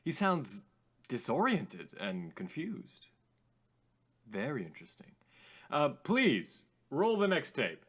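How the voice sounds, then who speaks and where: An actor playing an earnest, philosophical radio host in an audio drama, formal and slightly theatrical. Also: male voice, mid-range voice, huge pitch swings, takes it slowly, a bit bright, husky, heavily acted. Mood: intrigued.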